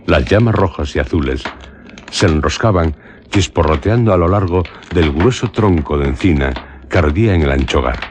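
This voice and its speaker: Very deep, solemn gothic narrator. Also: male voice, expressive, background noise. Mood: solemn narration. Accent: Spanish from Spain. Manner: solemn, literary, measured. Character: educated male traveller narrating a gothic tale, skeptical. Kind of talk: radio drama narration.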